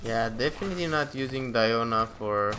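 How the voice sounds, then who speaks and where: A man's voice: mid-range voice, animated, normal speed, music in the background. A man giving casual, off-the-cuff commentary as he plays a video game. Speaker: gaming video host.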